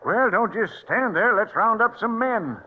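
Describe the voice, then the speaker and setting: A man's voice: sits high, lively intonation, unhurried, a little hiss or hum. An actor playing a stubborn, grumpy old frontier grandfather with a folksy American Western drawl in a radio western. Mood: angry, defiant. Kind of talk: 1950s radio western acting. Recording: dull old radio recording.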